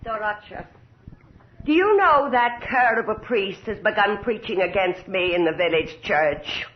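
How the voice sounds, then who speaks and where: An actress playing a haughty, cruel noblewoman in a radio horror drama, with theatrical, deliberate delivery. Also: mid-range voice, lively intonation. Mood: menacing. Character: imperious, cruel noblewoman, mad with power. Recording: dull, muffled vintage radio recording.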